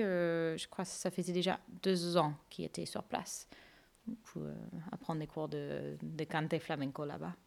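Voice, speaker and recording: Canadian woman on a radio show, clear resonant voice, hesitant and gentle. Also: lowish voice. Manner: soft-spoken, many hesitations, light laughs. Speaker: flamenco dancer, guest. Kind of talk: radio interview answers.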